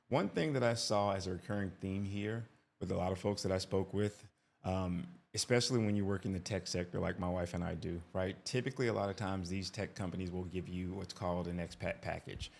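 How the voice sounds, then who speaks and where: A man's voice: low-pitched, brisk, creaky. A man giving casual reaction commentary as an expat vlogger, talkative, often tagging "right?" and restarting his sentences.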